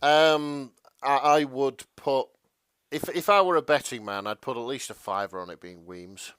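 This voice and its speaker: A man's voice: medium pitch, moderate pace, a bit bright, smooth, no room sound. Guy cohosting a podcast, easygoing wry chat, dry close recording. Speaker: podcast co-host.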